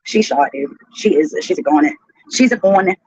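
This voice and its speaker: A woman's voice: lowish voice, lively intonation, fairly quick, darkish tone, slightly rough, dry recording. A woman chatting casually on a live stream in a giggly, crude, incredulous manner. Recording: dull, lo-fi replayed stream audio.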